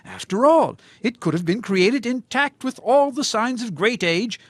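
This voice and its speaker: Guy doing pompous creationist crackpot on a radio comedy, highish voice, really animated, dry close sound, talks like a pedantic prophet. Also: no echo. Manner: pedantic, formal, grandiose. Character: pompous male crackpot creationist with messianic self-belief. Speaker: interview guest. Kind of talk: audio comedy drama, mock radio interview.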